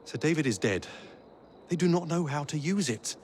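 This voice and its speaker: An actor playing a stern, cautious senior assassin with a formal, admonishing manner in a video game. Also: male voice, fairly high pitch, expressive, moderate pace, darkish tone, a little hiss or hum, close to an everyday voice. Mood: stern, dismissive. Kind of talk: video game cutscene acting.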